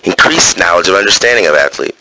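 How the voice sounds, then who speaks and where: A man's voice: sits low, expressive, fast, bright, forceful tone, no room sound, rough recording. A man reading coaching points aloud in a wrestling gym, breaking off into casual summaries with fillers. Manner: alternates terse read-out points with casual asides full of fillers.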